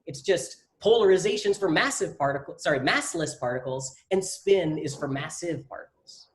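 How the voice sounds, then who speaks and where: A man's voice: a little high, animated, normal speed. A young man with a South Jersey accent, casually explaining physics at a meetup, rambling with many fillers. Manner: rambling, heavy use of "like" fillers. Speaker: meetup presenter.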